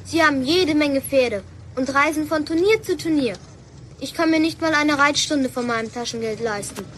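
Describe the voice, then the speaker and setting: A woman's voice: sits high, normal speed, close to an everyday voice. A woman playing an earnest, plaintive young girl in a German children's radio play. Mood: wistful. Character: earnest horse-loving young girl of modest means. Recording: noisy old radio play recording.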